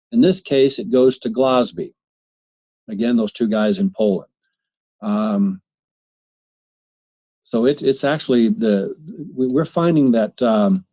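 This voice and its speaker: A man presenting a software demo in a webinar, talking haltingly with many fillers and restarts. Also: medium pitch. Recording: slightly muffled webinar audio.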